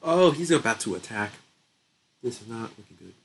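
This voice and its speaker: A man's voice: medium pitch, animated, normal speed, slight background noise. A young man with a stuffy nose, getting sick, chatting casually through his gameplay commentary, laughing often and thinking aloud. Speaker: gaming channel host.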